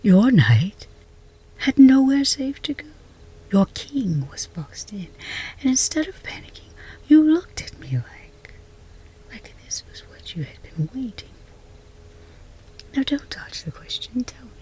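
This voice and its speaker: A woman playing a flustered, lovestruck chess rival in a romance roleplay ASMR, whispery and intimate, with halting, teasing delivery. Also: sits high, very animated, mellow, full character voice, rough recording. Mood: puzzled, probing. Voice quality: breathy, whispery.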